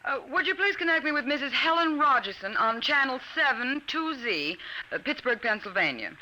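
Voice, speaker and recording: An actress playing an indulgent, gently amused suburban mother in a 1950s radio drama, with a light, placating manner. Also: sits high, sing-song, normal speed, full character voice. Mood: chatty. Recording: noisy vintage radio recording.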